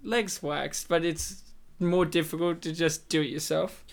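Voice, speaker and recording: A man's voice: fairly high pitch, fairly even intonation, a little slow, creaky. A man co-hosting a casual film commentary podcast, rambling and joking between his points. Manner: jokey, rambling, slips into silly impressions. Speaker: podcast co-host.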